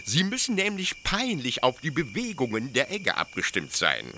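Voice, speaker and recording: A man acts out a zealous young officer of a penal colony in a radio play. His voice is sharp and very bright, swinging widely as he explains with pedantic, halting pride. There is faint noise behind him. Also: mid-range voice, wildly swooping pitch, full character voice. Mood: pedantic, eager. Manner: hesitant pedantic explaining, with stammered pauses. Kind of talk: German literary radio play acting.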